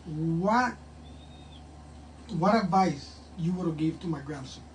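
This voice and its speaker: A man's voice: on the high side, expressive, takes it slowly. A talkative older man with a grandson, hosting a casual promo interview and hyping a fight. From Puerto Rico. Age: has a grandson. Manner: hyped, talkative, switches into Spanish. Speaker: host. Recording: distant mic with faint ambient noise.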